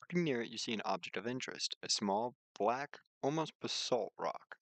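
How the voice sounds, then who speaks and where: A man's voice: mid-range voice, animated, moderate pace, a bit bright, no room sound. A man streaming a visual novel, reading its narration aloud with casual commentary and sometimes stumbling over lines and rereading them. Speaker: video game streamer.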